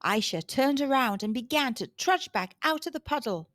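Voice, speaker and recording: A woman storyteller narrating a picture book for children with dramatic, deliberate emphasis. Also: sits high, lively intonation, fairly bright tone, no room sound. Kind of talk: scripted storybook narration for kids.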